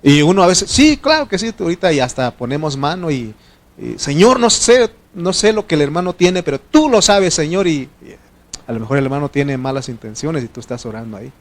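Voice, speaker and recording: A man preaching a sermon from Mexico, first language not Spanish, talking to his congregation in an animated way, moving between loud exhortation and quiet asides. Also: on the high side, brisk.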